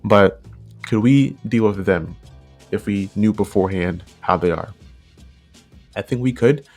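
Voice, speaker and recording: A man's voice: fairly low pitch, unhurried, darkish tone, faint music behind. A man giving casual, unscripted commentary, rambling along and often repeating and rephrasing himself. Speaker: video creator, narrator.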